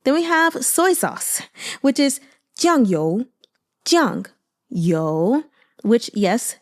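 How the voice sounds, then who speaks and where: A woman's voice: on the high side, very animated, extremely slow. A woman chatting informally and expressively about a book, in a casual commentary style full of asides.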